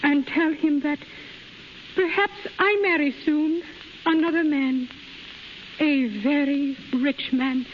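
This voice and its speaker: An actress playing a gentle, heartbroken young Japanese wife in a 1930s radio drama, speaking slow, halting, simple English with resigned dignity. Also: female voice, high voice, steady tone, slight background noise. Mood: resigned sorrow. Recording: muffled 1930s radio recording, music behind.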